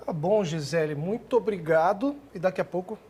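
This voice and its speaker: A woman's voice: fairly low pitch, animated, brisk, dark tone, a little hiss or hum. A Brazilian woman co-presenting a live TV news segment in a studio, friendly and conversational with her guest.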